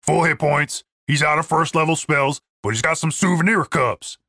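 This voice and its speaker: Woman doing a husky, gruff, sulky big guy. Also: low-pitched, normal speed, pushed and bright, no room sound, clean studio sound, heavily acted. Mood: flat. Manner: blunt, sullen. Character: big, dim, gruff, sulky male adventurer.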